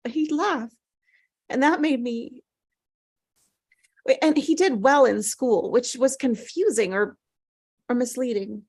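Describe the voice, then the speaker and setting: An actress plays an older mother in grief, recorded dry and close. She is earnest and compassionate, and she speaks haltingly, feeling for each word in a scripted drama. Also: on the high side, darkish tone, breathy edge, no echo, exaggerated voice. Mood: wistful, halting. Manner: halting, searching for words. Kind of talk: scripted drama table read.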